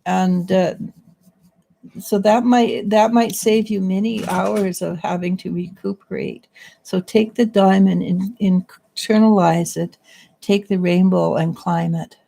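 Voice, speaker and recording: Slow, gravelly-voiced woman reader. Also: medium pitch, steady tone, dark tone. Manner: halting, searching for words with many restarts. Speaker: psychic reader. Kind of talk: casual spiritual reading and chat.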